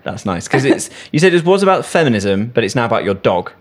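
A man's voice: medium pitch, very animated, fast. A man presenting a radio show and interviewing guests in an upbeat, casual way.

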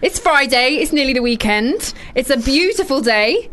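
Young British woman, radio host, higher voice, giggly chat, studio headset mic. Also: animated, normal speed, fairly bright tone, slight background noise. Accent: British English. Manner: giggly, chatty and self-deprecating.

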